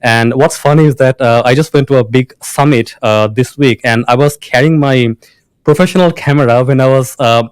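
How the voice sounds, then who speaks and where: A man's voice: medium pitch, moderate pace, clean tone, dry recording. A man from Bangladesh, a founder being interviewed, who restarts often and uses many fillers. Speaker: founder and guest. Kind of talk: casual interview talk.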